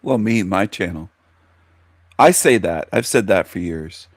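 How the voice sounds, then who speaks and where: A man's voice: low-pitched, lively intonation, measured pace, dry recording, studio quality. A man giving scornful commentary, mocking and sneering at a rival's clip. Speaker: commentary youtuber.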